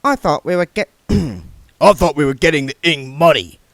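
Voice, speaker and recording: A slow, crude thug who grumbles in a damp growl, as a male narrator puts him on. He drags his words out heavily. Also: fairly high pitch, fairly bright tone, dry recording, voice pushed up. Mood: grumbling.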